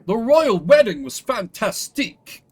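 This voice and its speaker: A man acts out a self-styled hero of a fantasy game, a grandiose dragon slayer. His voice is high and husky, swinging widely in pitch. He delivers everything as florid, pompous bragging. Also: lively intonation, takes it slowly, full character voice. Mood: grandly boastful. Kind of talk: acted dialogue for a fantasy video game.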